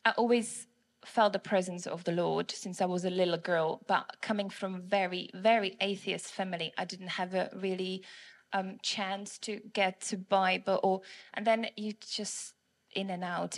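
A woman from the Czech Republic with a Czech accent, nervously giving a testimony in church on a handheld mic, hesitant and giggly with many fillers. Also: medium pitch. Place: church service on stage. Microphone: handheld microphone.

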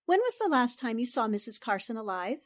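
Woman acting a poised, higher-voiced lady reporter. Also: very animated, dry recording. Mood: probing. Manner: polite, precise, deliberate questioning. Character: poised, sharp-eyed, inquisitive woman newspaper reporter. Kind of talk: 1940s radio drama acting.

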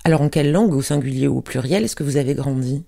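A radio host introducing a guest and asking measured, formal questions. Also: mid-range voice, vocal fry, dark tone.

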